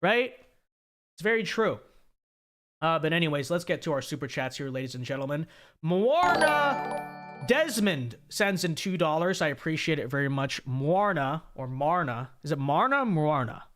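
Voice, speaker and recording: A man's voice: fairly high pitch, very animated, moderate pace, fairly bright tone, soft background music. Opinionated male streamer, scratchy sore voice. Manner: opinionated, repeats himself, many restarts. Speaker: livestream host. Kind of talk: casual livestream commentary and super chat reading.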